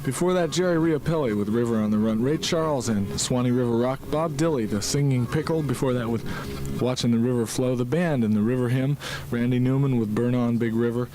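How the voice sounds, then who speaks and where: A man's voice: mid-range voice, fairly quick, few pauses, poor audio. A man working as a radio DJ, casually reading back song lists in a loose, absent-minded manner. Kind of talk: casual back-announcing of songs. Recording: 1975 radio aircheck. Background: music.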